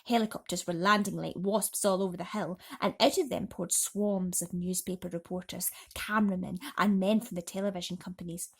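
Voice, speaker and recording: Expressive woman reading a bedtime story. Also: fairly low pitch, a little fast, few pauses, slightly rough. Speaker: storybook reader.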